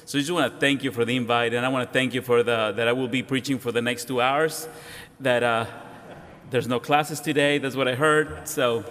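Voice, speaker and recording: A middle-aged man with a Mexican accent preaches from a church pulpit, stopping and restarting his sentences as he jokes at his own expense. His voice sits at an ordinary middle pitch. A long reverb from the sanctuary trails each phrase. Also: fairly even intonation. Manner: halting, warm, self-deprecating, with frequent restarts. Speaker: guest pastor. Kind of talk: sermon.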